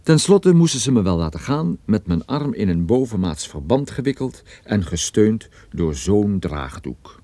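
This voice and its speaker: An actor playing a hard-boiled private detective who narrates a radio play in a dry, wry, laconic way. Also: male voice, lowish voice, big pitch swings, measured pace, hardly any pauses, mellow, no echo. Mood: dry humour. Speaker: narrator and protagonist.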